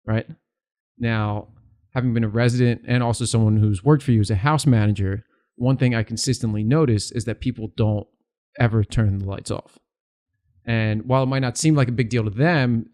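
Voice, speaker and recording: A man's voice: mid-range voice, darkish tone. A young man co-hosting a podcast, chatting in an easygoing, rambling way with some restarts. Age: young adult. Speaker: podcast co-host. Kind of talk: casual chat.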